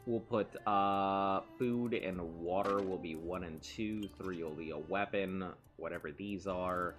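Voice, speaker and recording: Bright-voiced man playing a game. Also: low voice, unhurried, faint music behind. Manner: playful, rambling, chatting with someone in the room. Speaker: let's play host. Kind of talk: casual gameplay commentary.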